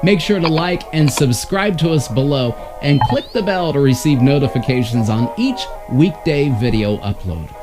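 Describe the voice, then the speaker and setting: Music plays behind a man with a slightly high, lively voice. He narrates from a script as the host of a show. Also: normal speed, few pauses.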